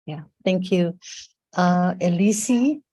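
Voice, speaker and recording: A woman speaking on a video call, halting and hesitant with many fillers. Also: lowish voice, warm tone. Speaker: guest speaker. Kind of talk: casual video call remarks.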